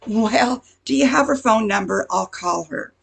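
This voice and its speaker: A woman playing a blunt, impatient diner waitress in an amateur radio comedy, with a husky voice. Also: on the high side, a little slow, a bit bright, exaggerated voice. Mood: exasperated. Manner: blunt, sassy.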